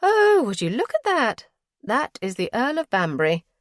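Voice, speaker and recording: A woman's voice: on the low side, animated, normal speed, no room sound, clean studio sound. A woman voicing a fussy older aristocratic aunt who is busy matchmaking, speaking in a genteel, coaxing way. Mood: delighted.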